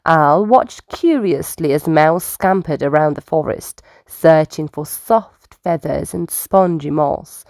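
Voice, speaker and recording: A woman reading bedtime stories aloud to young children in an expressive, unhurried storytelling manner. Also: deep voice, dry recording.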